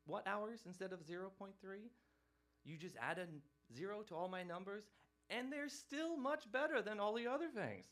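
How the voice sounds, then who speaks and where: A man's voice: high-pitched, vocal fry, a bit bright. A man from Norway, lecturing a live audience in a conversational way. He quizzes the crowd and keeps tagging his points with "right?".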